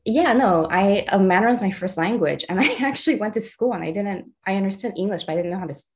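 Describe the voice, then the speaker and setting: A woman's voice: mid-range voice, quick pace. A young adult woman from the Los Angeles area, an artist chatting as an interview guest, laughing often and restarting her sentences. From Los Angeles area, USA. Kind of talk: casual interview conversation.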